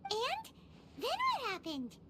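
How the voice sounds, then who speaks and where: A woman's voice: very high-pitched, huge pitch swings, takes it slowly, heavy background noise, exaggerated voice. A woman voicing a tiny, childlike female companion creature in a video game, eager and inquisitive. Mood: curious. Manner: exclaiming, inquisitive.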